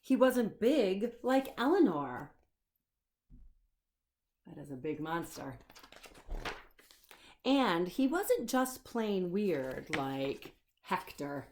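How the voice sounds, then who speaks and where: A woman's voice: lowish voice, very animated, very slow, long pauses, a little mellow, a little hiss or hum. A woman children's storyteller reading a picture book aloud, expressively, explaining and putting questions to the listener.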